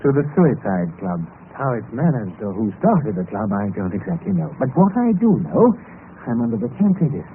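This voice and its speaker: Reckless young British gentleman male actor, courteous bitter gaiety, animated pitch, vintage radio drama with noisy sound. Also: normal speed, phone-quality sound, lightly acted. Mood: grave, confiding. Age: young adult. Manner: polite, formal, flippant about despair. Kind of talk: old-time radio drama acting.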